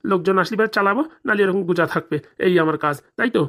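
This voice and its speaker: A woman's voice: mid-range voice, rapid-fire, a bit bright, lightly acted. A woman voicing a greedy, boastful, crooked male village doctor in a comic cartoon drama, with a brash colloquial manner.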